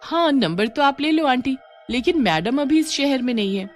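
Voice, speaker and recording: A woman's voice: sits high, lively intonation, moderate pace, faint music behind, pitched above the performer's own voice. A woman voicing a young schoolgirl with an earnest, questioning manner in a horror story. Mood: matter-of-fact. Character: young schoolgirl, puzzled and uneasy.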